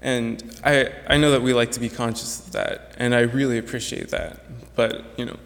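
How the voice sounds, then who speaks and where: A man's voice: mid-range voice, steady tone, moderate pace, vocal fry, fairly bright tone. Young male student speaker, earnest and reflective, mid-range voice, long hall reverb. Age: young adult. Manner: earnest, reflective, with some restarts. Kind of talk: prepared speech to an audience.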